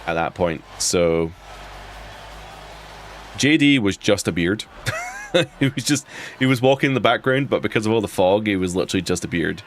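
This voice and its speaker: A man's voice: lowish voice, lively intonation, normal speed, long pauses, faint music behind. A man with an Edinburgh Scottish accent chatting casually while playing a wrestling game, rambling and restarting his sentences. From Scotland. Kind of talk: casual live commentary on gameplay.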